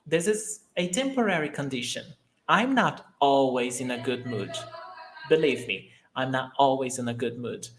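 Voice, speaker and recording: Male language teacher, unhurried and methodical, repeats his examples, recorded in an echoey room. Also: on the high side. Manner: slow and deliberate, repeating each example sentence. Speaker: Portuguese teacher. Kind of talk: language lesson, mixing English and Portuguese.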